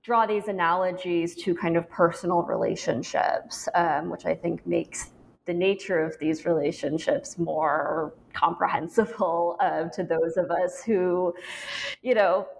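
A woman interviewing an author, asking measured, reflective questions. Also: lowish voice, creaky, slight background noise, poor audio. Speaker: podcast interviewer. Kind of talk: academic interview.

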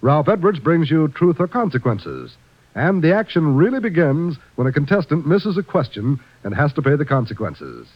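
Lively American vintage radio announcer, male. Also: fairly high pitch, moderate pace. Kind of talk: 1950s scripted announcing. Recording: muffled old radio broadcast. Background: music.

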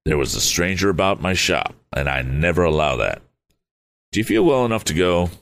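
Man reading aloud as a deliberate father-inventor, deep and gravelly. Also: sing-song, fairly bright tone, exaggerated voice. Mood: calm. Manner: measured, deliberate. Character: steady older male inventor, cautious father.

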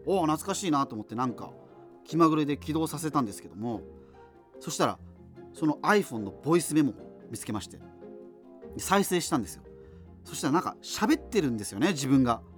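Solo amateur radio host in a doomed future, radio drama. Higher-pitched, animated, digressive and casual, music underneath. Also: male voice, a little fast. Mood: chatty, rambling. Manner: rambling, self-interrupting, digressive, casual asides. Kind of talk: conversational radio monologue in a scripted radio drama.

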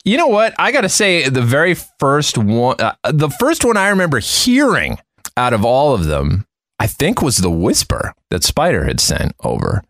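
A man's voice: mid-range voice, wildly swooping pitch, moderate pace, fairly bright tone, dry recording, clean studio sound. A man chatting casually as a panelist on a podcast.

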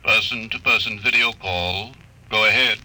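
An actor playing an all-knowing computer that gives deadly advice in a formal, impersonal announcer manner in an old radio drama. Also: male voice, lowish voice, moderate pace, lightly acted. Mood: impersonal, matter-of-fact. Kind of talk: classic science fiction radio drama acting. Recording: noisy old radio recording.

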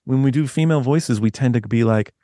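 A man with a deep voice, a guest author talking in a loose, rambling way with many restarts. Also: quick pace, dark tone, no echo, studio quality. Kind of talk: casual interview chat.

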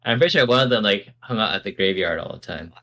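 A man's voice: lowish voice, animated, fast, bright, forceful tone. A man co-hosting a podcast in casual, irreverent, joking chat, often chiming in. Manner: irreverent, interjecting. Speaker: podcast co-host and quiz contestant.

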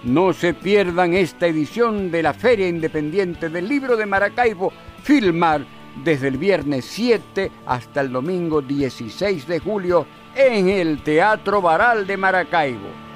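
A man reads a scripted event promo for radio. His voice sits a little high, with soft music underneath. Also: expressive, unhurried. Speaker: promo announcer. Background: faint music.

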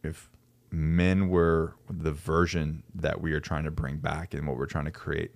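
A man's voice: extremely low pitch, flat delivery, measured pace, vocal fry, no echo. A man hosting a casual podcast conversation, talking earnestly with many restarts. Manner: earnest, restarts often, talks about men leading by example. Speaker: podcast host.

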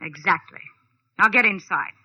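A woman's voice: medium pitch, moderate pace, bright, forceful tone, slightly rough. An actress playing a scheming, dangerous woman in an old radio detective drama, curt, controlled and commanding. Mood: cold, menacing. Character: scheming woman, cool and dangerous femme fatale. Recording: dull old radio recording.

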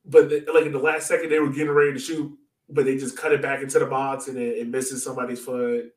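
Male sports podcast co-host, casual and informal, mid-pitched, recorded in an echoey room away from the mic. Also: flat delivery, fast. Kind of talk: casual soccer chat. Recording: roomy echo, distant from mic.

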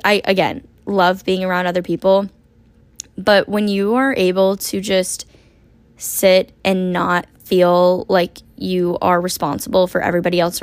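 A young woman in her twenties hosting a casual solo podcast, her voice hoarse and raspy from a long shift, rambling chattily with lots of "like". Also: medium pitch, dry recording. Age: 20s. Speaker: podcast host.

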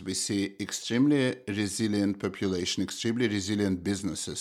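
A middle-aged man from Russia with a Russian accent, answering interview questions as an economist, thoughtful and halting with frequent restarts. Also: sits low. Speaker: economist guest.

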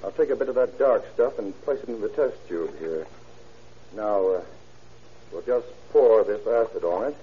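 An actor playing a careful police lab chemist who explains deliberately with hesitant murmurs in an old-time radio drama. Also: male voice, fairly low pitch, lively intonation, breathy edge. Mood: careful, explanatory. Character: careful, unhurried male police laboratory chemist. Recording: dull, noisy vintage radio recording.

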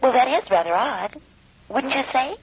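Polite high-voiced phone company official. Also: female voice, lively intonation, moderate pace, breathy edge, no room sound, muffled recording, heavily acted. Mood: polite, puzzled. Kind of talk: radio drama dialogue.

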